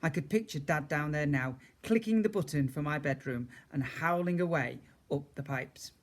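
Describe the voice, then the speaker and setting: A man's voice: a little high, moderate pace. A man reading a children's story aloud as a teacher would, expressively, with chatty asides to his young listeners.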